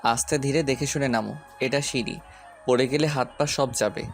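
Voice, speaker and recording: A man playing a caring, gently bossy young neighbour in an audio story, speaking in a measured, advising way. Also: medium pitch, faint music behind, natural voice. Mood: calm, instructing.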